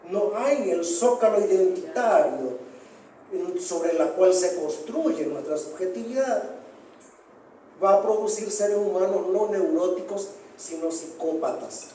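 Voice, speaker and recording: A man's voice: high-pitched, lively intonation, unhurried, dark tone, far from the mic, slight background noise. Earnest, declamatory man in an echoey hall. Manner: earnest, declamatory, with rhetorical questions. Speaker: panelist speaking as a psychoanalyst. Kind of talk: panel discussion remarks. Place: large hall, book presentation.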